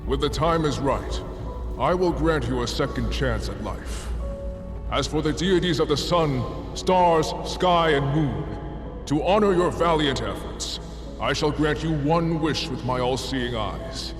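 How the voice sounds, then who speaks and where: A man's voice: on the high side, unhurried, lightly acted. Male actor as commanding heavenly god, grave formal proclamations, fantasy audio drama, vast reverberant echo, music underneath. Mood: solemn decree. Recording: cavernous long reverb.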